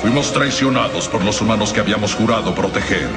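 A man's voice: on the low side, fast talker, close to an everyday voice. An actor playing a solemn, proud gargoyle warrior who narrates his legend in a grave, epic cartoon style. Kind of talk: dramatic cartoon intro narration, dubbed. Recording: loud orchestral music over dub.

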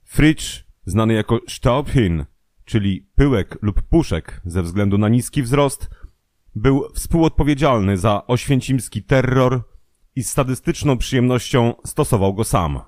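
A man's voice: medium pitch, a little slow, a little mellow, no echo, clean studio sound. A man reading scripted documentary narration about history and crime. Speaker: narrator of a true-crime history audio programme.

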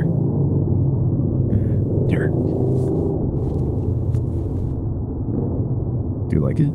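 A man playing an older ex-mafia boyfriend in an intimate ASMR roleplay, speaking softly and affectionately with some hesitation. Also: mid-range voice, vocal fry, heavy background noise. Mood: playful, affectionate. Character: older ex-mafia boyfriend, tender, teasing, vulnerable bar owner.

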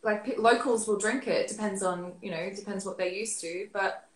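A woman's voice: medium pitch, fairly quick. Chatty woman on an echoey video call. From Australia. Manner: chatty, many fillers and restarts. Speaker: beer expert guest. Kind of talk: casual video call interview. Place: living room at home. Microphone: laptop webcam on video call. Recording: roomy webcam audio.

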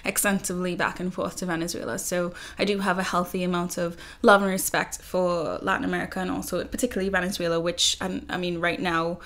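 A woman from Trinidad, a journalist in her thirties, explaining her research in an interview in earnest, measured sentences. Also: lowish voice, level tone, fairly bright tone. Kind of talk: interview guest, conversational.

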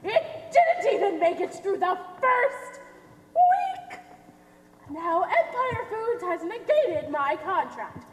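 A woman's voice: extremely high pitch, exaggerated voice. A young woman on stage playing a melodramatic, self-important, domineering mother with a grandly theatrical manner. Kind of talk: high school stage play acting. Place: theater stage, filmed from the audience. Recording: echoey theater stage, faint noise.

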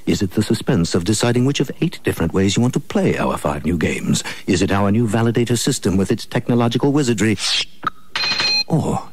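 A man's voice: on the low side, lively intonation, fairly quick, darkish tone, breathy edge, no echo. An American man playing a grandiose, tongue-in-cheek theatrical announcer in a scripted radio ad, pompous and hyped. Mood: grandly hyped. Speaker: commercial announcer. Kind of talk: mock-dramatic scripted radio ad.

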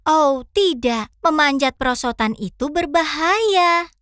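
High, animated little cartoon fox. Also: female voice, takes it slowly, heavily acted. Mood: curious. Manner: enthusiastic, encouraging. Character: cheerful, curious, friendly little pink fox host. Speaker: host guiding young viewers. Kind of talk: children's cartoon dubbing. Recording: children's music behind.

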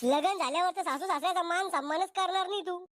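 A man voicing an exasperated, sharp-tongued village mother in a comic cartoon, scolding with sarcasm in a Vidarbha Marathi accent. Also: very high-pitched, fast, a little hiss or hum. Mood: scolding, sarcastic.

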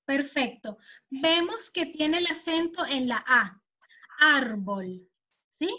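A woman's voice: sits high, slow pace, sharp tone. A woman with a Venezuelan accent teaching an online Spanish lesson, explaining patiently and checking that the students follow. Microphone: computer mic on a video call. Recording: muffled video call audio.

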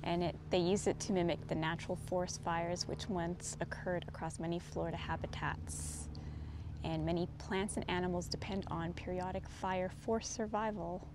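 A woman's voice: lowish voice, fairly even intonation, moderate pace. Woman, middle-aged, hiking vlog narration, outdoors, camera mic, faint background noise. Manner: easygoing, descriptive, points things out as she walks. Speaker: vlogger hiking a trail. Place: outdoors on a forest trail. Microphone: handheld camera, often at a distance.

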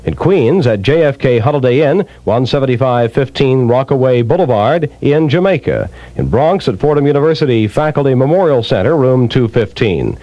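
A male spokesman reads a scripted radio commercial from the 1970s. His medium-pitched voice moves around in an animated way, and the sound is very dry. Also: moderate pace, hardly any pauses, dry recording, lo-fi sound.

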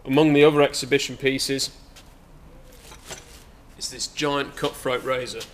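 A man's voice: mid-range voice, level tone, measured pace, a little hiss or hum. A young man, an auctioneer giving a casual, hesitant walkaround preview of his sale in a saleroom, recorded on a camera mic. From England. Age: young adult. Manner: chatty, hesitant with many fillers. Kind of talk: informal walkaround sales preview. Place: large indoor auction saleroom.

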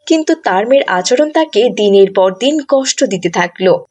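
A woman's voice: mid-range voice, darkish tone. A woman reading scripted narration for a children's moral story.

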